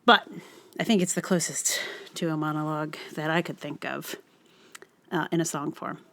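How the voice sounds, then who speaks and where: A woman's voice: low voice, fairly even intonation, moderate pace, fairly bright tone. A woman talks casually as a podcast host, as if thinking aloud before a performance. She is reflective and hesitant, often letting a thought trail off or starting it again. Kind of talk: casual rambling introduction to a song.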